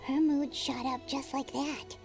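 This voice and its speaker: A woman voicing a tiny, excitable, cheeky floating fairy girl in a video game, with a squeaky childlike voice. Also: measured pace, vocal fry, distant mic, soft background music. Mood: cheeky, excited. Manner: exclaims, speaks of self in third person. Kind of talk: video game character dialogue.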